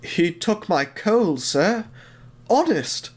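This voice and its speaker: A man's voice: sits high, very animated, extremely slow, pitched above the performer's own voice, heavily acted. A man voicing an earnest, contrite steam engine in an audio drama, pleading and hesitant. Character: earnest, contrite little steam engine answering his boss.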